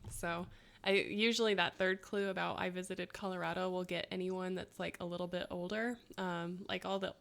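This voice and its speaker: A woman's voice: lowish voice, steady tone, moderate pace, vocal fry, fairly bright tone. A woman in her thirties co-hosting a podcast, reading quiz clues and chatting, laughing often. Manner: chatty, laughs often, self-mocking asides. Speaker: podcast co-host.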